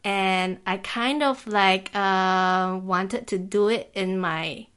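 A woman's voice: mid-range voice, slow, a bit bright, clear, resonant tone. A woman, a climbing guide chatting as a guest in an office, easygoing and full of "like" fillers. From Singapore. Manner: easygoing, peppers speech with "like", laughs often. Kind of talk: casual interview chat.

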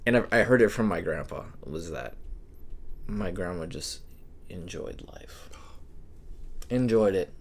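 Slow, soft-spoken young man with gravelly low voice. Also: expressive, a little hiss or hum. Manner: slow, halting, soft-spoken with many pauses. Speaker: podcast co-host. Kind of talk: casual podcast chat.

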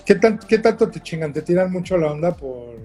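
A man's voice: high-pitched, animated, fairly quick, dark tone, faint music behind. Joking Mexican man hosting a chat. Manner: joking, teasing, slangy. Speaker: host. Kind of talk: casual online chat show.

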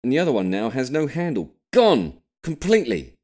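A man's voice: medium pitch, huge pitch swings, a little fast, a little mellow, pitched above the performer's own voice. A man voicing a grumpy, exacting middle-aged father in an audiobook, indignant and emphatic. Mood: indignant scolding. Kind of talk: audiobook character voice.

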